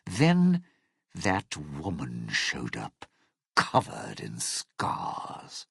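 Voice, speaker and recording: An actor playing a bitter, scheming lounge singer and thief in a video game, with a husky, gravelly voice and a sardonic, theatrical delivery. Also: male voice, extremely low pitch, wildly swooping pitch, drawn-out words, no echo, studio quality, heavily acted. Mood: wry, calculating. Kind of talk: video game character dialogue.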